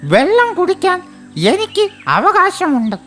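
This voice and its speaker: Woman voicing a very high, pleading little frog. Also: huge pitch swings, faint music behind, heavily acted. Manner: pleading, drawn-out, earnest. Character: small friendly male frog, pleading and anxious. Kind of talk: children's cartoon story voice acting.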